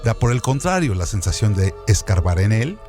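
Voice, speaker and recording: Animated male narrator, formal and didactic. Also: fairly low pitch, moderate pace. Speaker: radio presenter. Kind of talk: scripted literary essay narration with question hooks. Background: background music.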